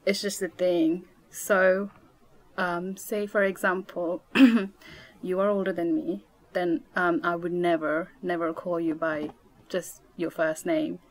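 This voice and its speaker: A woman's voice: lowish voice, level tone, takes it slowly, poor audio. Chatty young woman from Nepal. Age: 20s. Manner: chatty, many fillers, laughs often. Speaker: podcast guest. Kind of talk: casual interview chat.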